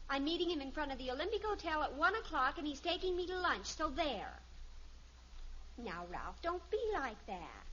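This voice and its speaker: High-pitched lovestruck teenage girl. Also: a bit bright. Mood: coy. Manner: gushing, theatrical. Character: lovestruck dramatic teenage daughter, eager and gushing. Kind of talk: 1950s radio sitcom acting. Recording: muffled, hissy old radio recording.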